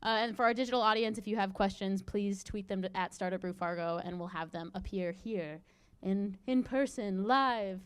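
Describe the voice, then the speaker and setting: A woman's voice: medium pitch, normal speed, smooth, no room sound. Bubbly woman hosting a live Q&A. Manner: bubbly, chatty, self-deprecating. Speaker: event host.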